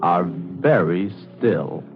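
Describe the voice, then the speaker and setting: A man announcing and narrating a radio thriller series in a formal, measured, dramatic 1940s broadcast style. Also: low voice, animated, gravelly, phone-quality sound. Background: loud dramatic music.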